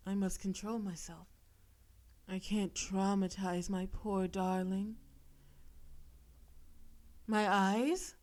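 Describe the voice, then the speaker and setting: A woman voicing a possessive, seductive female demon in an intimate audio roleplay, teasing and coaxing with theatrical menace, sometimes breathy. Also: mid-range voice, fairly even intonation, slow pace, long pauses, a little mellow, close to an everyday voice. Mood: restrained, flustered. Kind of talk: intimate audio roleplay, scripted monologue to listener.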